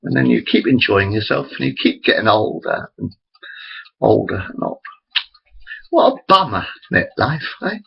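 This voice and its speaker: A man's voice: on the low side, big pitch swings, moderate pace, vocal fry, breathy edge, lo-fi sound. A man in his forties rambling chattily to his webcam, interrupting himself often. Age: 40s. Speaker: vlogger. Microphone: built-in computer camera mic.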